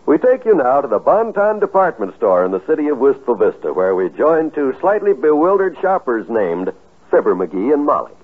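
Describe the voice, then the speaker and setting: A man working as a radio announcer in the formal 1950s style, reading earnestly with deliberate enunciation. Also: mid-range voice, sing-song, loud music behind the voice. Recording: muffled, thin 1950s radio recording.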